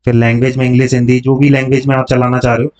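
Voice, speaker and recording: A man's voice: medium pitch, flat delivery, rapid-fire, a little mellow. A man teaching informally step by step, explaining as he goes and mixing in English terms with frequent check-in tags. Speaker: online tutorial host, digital marketing coach. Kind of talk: informal step-by-step screen tutorial. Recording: dull lo-fi microphone sound.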